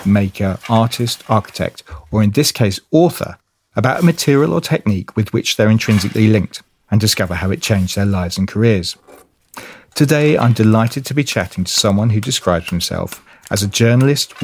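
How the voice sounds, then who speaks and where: A man's voice: lowish voice, a little hiss or hum. A man hosting a podcast, moving between scripted introduction and conversational interview questions. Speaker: podcast host.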